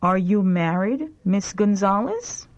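A woman reads short lesson sentences at a slow, deliberate pace for learners to follow. Her pitch sits in an ordinary middle range. The sound is dull and lo-fi, like an old tape. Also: lively intonation. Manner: slow, clear prompt sentences. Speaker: language lesson reader. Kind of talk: slow repeat-after-me language drill.

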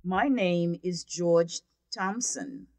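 A woman's voice: fairly low pitch, animated, extremely slow, darkish tone, no room sound. A woman reading scripted dialogue lines for a language course, carefully enunciated.

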